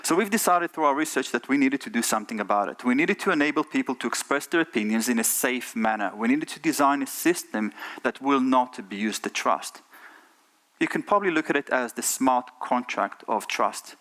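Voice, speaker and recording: A man's voice: mid-range voice, lively intonation, brisk, bright, forceful tone. A middle-aged man from Israel with an Israeli accent, giving an earnest keynote talk on a conference stage and putting rhetorical questions to the audience. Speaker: conference speaker.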